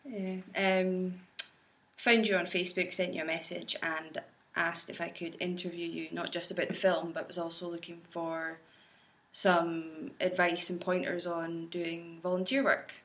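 A woman's voice: fairly low pitch, little pitch movement, unhurried, fairly bright tone. A woman who drives and edits video for the campaign, answering interview questions in a matter-of-fact, understated way. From Scotland.

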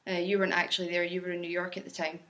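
Flat-voiced woman. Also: fairly low pitch, rapid-fire. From Scotland. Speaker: driver and video editor for the campaign. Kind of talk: casual interview answers.

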